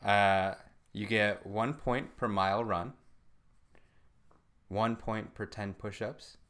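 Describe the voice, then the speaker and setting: A man's voice: on the low side, steady tone, takes it slowly, fairly bright tone. A man co-hosting a podcast, talking informally and slangily in casual banter with a friend. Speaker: podcast co-host.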